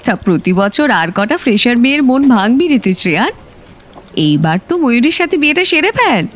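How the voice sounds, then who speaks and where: A woman's voice: on the high side, sing-song, normal speed. A woman playing a chatty, nosy senior office colleague in an audio drama, with a teasing, gossipy manner. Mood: playful, teasing. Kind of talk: audio story acting.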